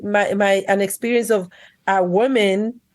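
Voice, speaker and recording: A woman's voice: medium pitch, a little slow. A woman from the Dominican Republic, an educator and coach, telling stories in an interview and acting out what people said. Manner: animated storyteller, acts out quoted speech. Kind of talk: conversational interview.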